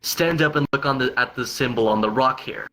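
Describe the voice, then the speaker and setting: A man's voice: medium pitch, a little fast, sharp tone, faint music behind. A man reading a story aloud unrehearsed, often stumbling and repeating words. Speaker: reader of a long joke story.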